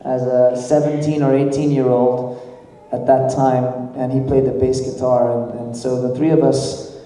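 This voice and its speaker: A man in his forties with a slight Nepali accent, a band leader chatting casually from a church stage with relaxed, halting speech. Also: medium pitch. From grew up in Nepal. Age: 40s. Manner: relaxed, halting, many fillers. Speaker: band leader introducing the group. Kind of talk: casual stage talk to a congregation. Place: large church hall. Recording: echoey hall from far off.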